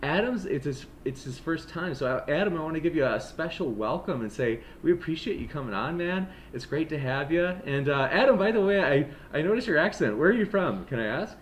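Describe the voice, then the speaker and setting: A man's voice: a little high, expressive, fairly quick, few pauses, slight background noise. Upbeat Midwestern male debate moderator. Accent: Midwestern American. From Wisconsin, USA. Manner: friendly, upbeat, casual. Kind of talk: hosting an online debate.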